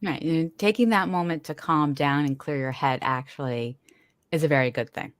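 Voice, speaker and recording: A woman's voice: low voice, normal speed, no room sound, studio quality. A woman hosting an interview in a casual, chatty way, laughing easily and restarting her sentences as she talks. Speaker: podcast host.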